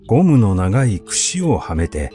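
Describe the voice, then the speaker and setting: A man reading a classic literary essay aloud as narrator, with measured, expressive storytelling delivery. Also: on the low side. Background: background music.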